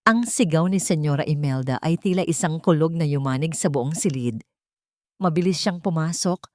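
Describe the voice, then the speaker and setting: A woman with a Filipino accent narrating a dramatic first-person story in measured, solemn, deliberate phrases. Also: lowish voice, a little mellow, no room sound, studio quality. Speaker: audiobook narrator.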